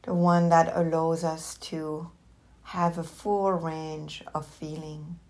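A woman with a low voice leads a guided meditation at a very slow pace. She gives gentle instructions as a teacher would, leaving long pauses between short phrases. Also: level tone.

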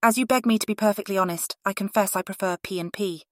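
A woman's voice: mid-range voice, fast, no echo, studio quality, natural voice. A woman playing a genteel, candid Regency-era lady of letters in a refined, composed dramatized reading.

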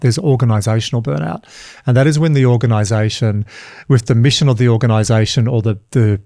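An upbeat man hosts a coaching podcast, talking in a chatty flow with quick asides. His voice is mellow and warm, and the recording is close and dry. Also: mid-range voice, no echo. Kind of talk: casual coaching conversation.

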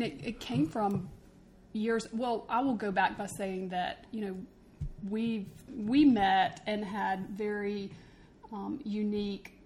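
A woman with a mid-pitched voice chats as a podcast co-host in a casual, unscripted way. The recording carries noticeable background noise and room ambience. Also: darkish tone.

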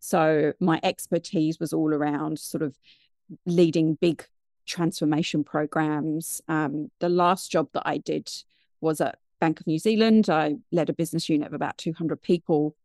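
British woman, 50s, gravelly lower voice, chatty and digressive, dry close sound. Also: fairly even intonation, moderate pace, darkish tone, no room sound. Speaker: guest, mindfulness business founder. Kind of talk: conversational interview storytelling.

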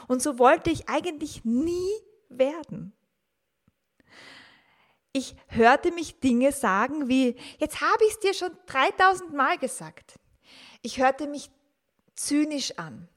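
A woman in her forties hosting her own podcast, telling a personal story about how she learned to talk with children, calm and reflective, asking the listener questions. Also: high voice, slow pace, long pauses. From grew up in the 1980s and 1990s. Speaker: podcast host, teacher and mother.

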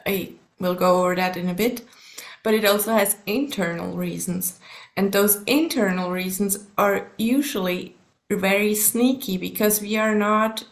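A woman from Switzerland whose first language is German, speaking English with a Swiss German accent learned partly in the US. She is a guest in a casual podcast interview and talks in a measured way, pausing to search for words. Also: mid-range voice. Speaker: guest, subconscious coach and therapist.

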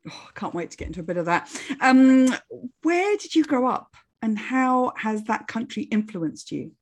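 A woman's voice: on the high side, big pitch swings, moderate pace. A woman hosting a podcast, reading a scripted intro and then interviewing a guest with enthusiasm and encouragement. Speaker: podcast host.

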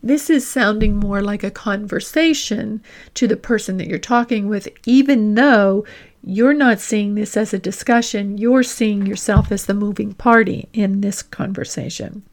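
Female career coach, mid-range voice. Deliberate, emphatic podcast teaching with clearly stressed key phrases. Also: mid-range voice. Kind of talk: instructional podcast talk with acted example dialogue.

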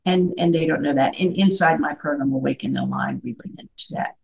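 A woman's voice: low-pitched, fast talker, vocal fry, a little mellow. A middle-aged woman who works as a life coach, talking earnestly over a video call and often checking in with "right?". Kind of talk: conversational video-call interview.

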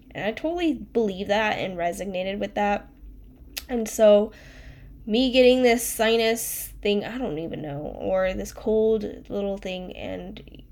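A young woman hosting her own podcast, chatting casually and rambling, her voice nasal from a cold. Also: mid-range voice. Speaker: podcast host, life coach. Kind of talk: casual solo podcast chat.

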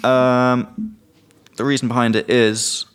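A young British man chats casually on a podcast. He is easygoing and keeps explaining English expressions with handy example sentences. His voice sits at an average pitch. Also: little pitch movement, takes it slowly, fairly bright tone, clean tone. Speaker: podcast co-host. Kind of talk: casual chat.

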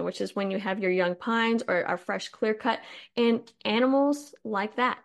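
A woman hosting a podcast, explaining land management in a chatty, informal way with her voice slightly hoarse. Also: medium pitch. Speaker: podcast host. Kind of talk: casual educational talk on forestry.

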